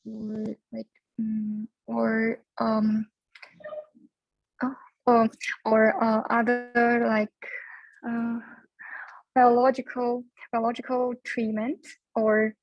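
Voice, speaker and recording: A woman's voice: a little high, completely flat delivery, very slow, pauses often, dry recording, muffled recording. A young adult woman from China, speaking hesitantly with many fillers as she searches for words in a class discussion. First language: Chinese. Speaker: student.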